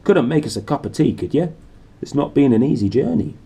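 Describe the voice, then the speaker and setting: A man's voice: medium pitch, animated, fairly quick, creaky, warm tone, breathy edge, voice pushed up. A man voicing a friendly, blunt giant gatekeeper in a storybook, with folksy speech. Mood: weary, blunt. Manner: folksy, dialect grammar, blunt and jolly.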